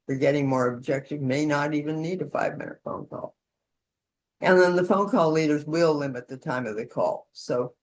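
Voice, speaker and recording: A woman's voice: sits low, a little hiss or hum. A woman leading an instructional session in an informal, conversational way, with role-played support calls. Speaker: session leader demonstrating peer-support calls.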